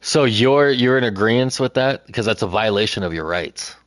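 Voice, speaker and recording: A man in casual chat, recounting a run-in and quoting others in a lower, quieter voice. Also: moderate pace, no echo.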